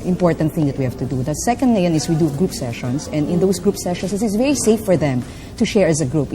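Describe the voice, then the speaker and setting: A woman with a Filipino accent and a low, deep voice, talking as a coach in an interview. She explains fluently, with plenty of fillers and a habitual "no?" at the end of her points. There is audible background noise around her voice. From Philippines. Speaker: life coach and trainer. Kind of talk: interview answers, mixing English and Filipino.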